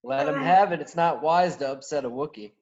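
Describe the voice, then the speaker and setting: A man's voice: on the high side, a little fast, a little mellow, lo-fi sound, natural voice. A man playing a cocky, wry space smuggler pilot, brash and sardonic, in a scripted reading. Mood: wry warning. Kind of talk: scripted table read acting.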